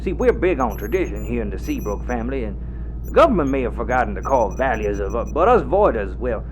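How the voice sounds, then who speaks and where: An actor playing a befuddled, folksy old husband from a space-settler family, with an American Southern accent, in a sci-fi audio comedy. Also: male voice, mid-range voice, very animated, a little fast, soft background music, close to an everyday voice, rough recording. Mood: earnest. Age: older. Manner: folksy, rambling, hesitant.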